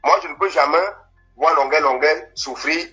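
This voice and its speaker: A man's voice: a little high, fairly even intonation, moderate pace, sharp tone, soft background music. Earnest Cameroonian man calling in by phone. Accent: Cameroonian French. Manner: earnest and hesitant, many fillers. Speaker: caller. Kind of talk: live phone-in chat. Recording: dull lo-fi phone line.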